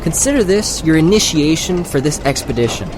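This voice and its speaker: Male actor as young trail leader, fairly high voice, commanding, cautious, audiobook drama, faint background noise. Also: expressive, a little fast, close to an everyday voice. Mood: instructing. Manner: firm, authoritative, lecturing.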